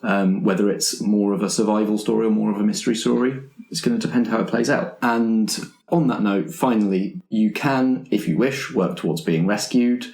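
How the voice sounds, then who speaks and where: A man with a low voice explaining tabletop game rules as host in a casual, measured way. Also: creaky, a little mellow, reverberant.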